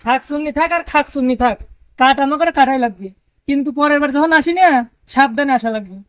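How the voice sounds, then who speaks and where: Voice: sits high, fairly quick, no echo, close to an everyday voice. A performer playing a determined male woodcutter, practical and rustic. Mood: resolute.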